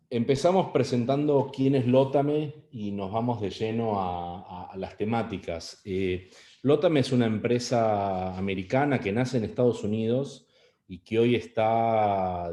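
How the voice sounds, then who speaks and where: A man's voice: fairly low pitch, warm tone, echoey room. A middle-aged man with an Argentine Spanish accent giving an online webinar talk, hesitant, with many fillers and restarts. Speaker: webinar speaker. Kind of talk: online presentation.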